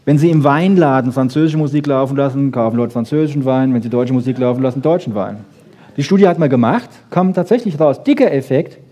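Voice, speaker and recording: A man's voice: medium pitch, quick pace, dark tone. A man giving an informal lecture, explaining conversationally with rhetorical questions and short emphatic statements. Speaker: lecturer on brain research. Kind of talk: animated informal lecture to an audience.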